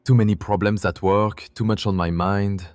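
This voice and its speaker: A man's voice: lowish voice, steady tone, moderate pace, darkish tone, dry recording, lightly acted. A man voicing a weary, evasive husband in an audio drama, subdued and reluctant. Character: weary, evasive husband hiding his troubled heart. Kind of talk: audiobook character dialogue.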